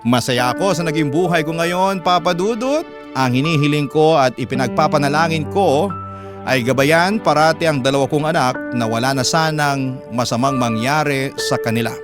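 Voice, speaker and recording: A man's voice: on the high side, normal speed. A man narrating a listener's letter as host of a radio drama, in scripted storytelling style. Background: music.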